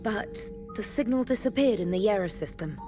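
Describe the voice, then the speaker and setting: A woman's voice: mid-range voice, expressive, normal speed, lightly acted. A woman playing an earnest communications specialist in a science fiction game, hesitant yet precise. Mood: tentative, explaining. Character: earnest, tentative young female communications specialist. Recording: music behind the voice.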